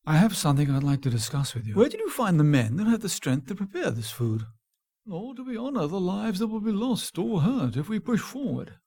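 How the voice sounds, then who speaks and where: A man's voice: sits high, very animated, a little fast, a little mellow, husky, voice pushed up, exaggerated voice. A man playing a weary, loyal soldier of ancient times in a dramatic audiobook, grave and earnest.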